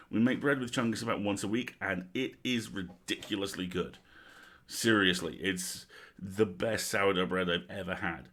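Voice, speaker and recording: Man, low-pitched voice, casual livestream host, wry and playful delivery. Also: fairly bright tone.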